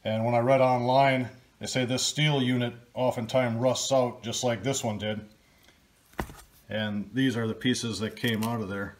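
A man's voice: medium pitch, steady tone, a little slow, a little hiss or hum. Older man explaining a pump repair. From Michigan, US. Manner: plain, practical, step-by-step explaining. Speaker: DIY video host. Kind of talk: casual how-to narration. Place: workshop, table of pump parts.

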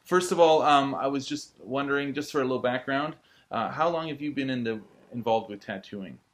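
A man's voice: medium pitch, brisk. A man, asking questions conversationally as an interviewer. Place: small indoor tattoo studio room.

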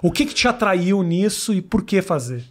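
A man with a high voice and a Brazilian Portuguese accent hosts a podcast interview. His talk is informal and slangy, spoken close into a studio microphone. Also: big pitch swings, moderate pace. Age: 40s. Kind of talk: casual interview chat. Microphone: close studio microphone on boom arm.

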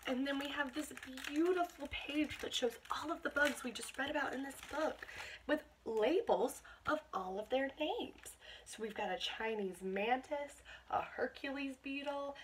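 A woman's voice: sits high, measured pace, slight background noise, poor audio. A woman, reading a picture book aloud to young children and speaking expressively to them like a teacher. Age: 20s. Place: small bedroom at home.

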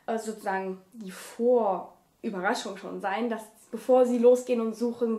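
A young woman with a high voice chats casually to her camera like a family vlogger. She is in a small living room that gives her voice an audible echo. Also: warm tone. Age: 20s. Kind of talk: casual vlog talk to camera. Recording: echoey room sound.

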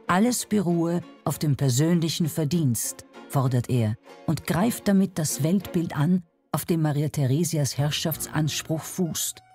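A woman's voice: low-pitched, unhurried, a little mellow, soft background music. A woman reading scripted narration as a documentary narrator.

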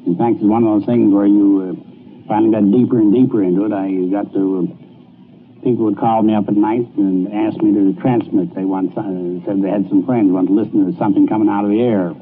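An older man with an American accent reminiscing informally in a halting, folksy way on an archival recording. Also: lowish voice, steady tone. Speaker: engineer recalling his work. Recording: tinny, noisy old archival recording.

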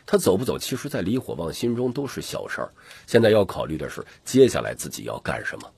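A man's voice: sits low, wildly swooping pitch, fast, gravelly, darkish tone, breathy edge. A man reading dramatic scripted fantasy narration as an audiobook narrator.

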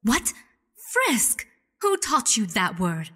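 A woman's voice: a little high, wildly swooping pitch, takes it slowly, a bit bright, full character voice. Woman as a composed motherly queen, reverberant. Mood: shocked. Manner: polite, composed, formal. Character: gentle but firm motherly monster queen. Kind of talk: animated comic dub acting. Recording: long hall reverb, faint music.

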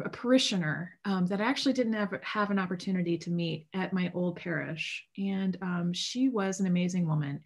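A woman in her thirties, a priest, preaching a sermon from home over a video call in a casual, earnest way, with lots of fillers and restarts. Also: lowish voice. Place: home room with bookshelves. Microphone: video call webcam.

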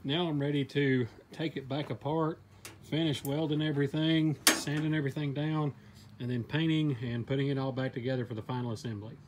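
A man's voice: medium pitch, fairly even intonation, brisk, background noise. Plain-spoken man explaining a welding build. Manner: plain, practical step-by-step explaining with fillers. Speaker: DIY welding channel host. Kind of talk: narrating a workshop build. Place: outdoors in a backyard workshop.

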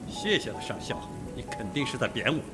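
A man's voice: mid-range voice, very animated, fairly quick, over music, close to an everyday voice. A man playing a blunt, suspicious police detective in a Mandarin radio mystery, with a sarcastic manner. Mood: dry, wary.

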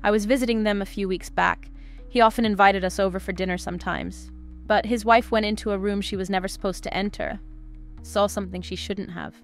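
A shaken imprisoned android woman, as an actress voices her, speaking at a medium pitch. She tells what she saw with urgency. Faint music runs behind. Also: fairly even intonation, a little fast, lightly acted. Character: imprisoned female android, frightened witness.

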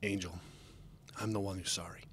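Guy doing a nice pegasus stallion in a story, caring, sweet, expressive. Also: mid-range voice, moderate pace, a little hiss or hum, voice pushed up. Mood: gentle, apologetic.

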